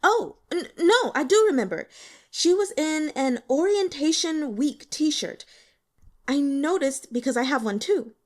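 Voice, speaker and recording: A woman voicing a nervous, evasive young female student in an audiobook, hesitant and halting. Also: high voice, animated, voice pushed up. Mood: hesitant, recalling. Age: young adult. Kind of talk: audiobook character dialogue.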